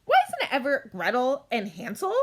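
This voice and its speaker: Lady playing bossy little girl in a kids story, high voice, super animated, sure of herself, argues back. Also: normal speed, exaggerated voice. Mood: argumentative. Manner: assertive, matter-of-fact, argumentative. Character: confident, bossy little girl Gretel, stubbornly optimistic.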